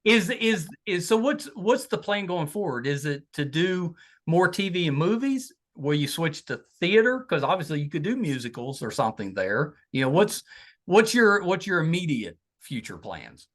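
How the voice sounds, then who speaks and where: A man's voice: on the high side, sing-song, normal speed, a bit bright. A middle-aged man hosting a casual podcast interview, chatty and tripping over his words. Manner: chatty, stammering restarts, laughs at own stories. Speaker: podcast host.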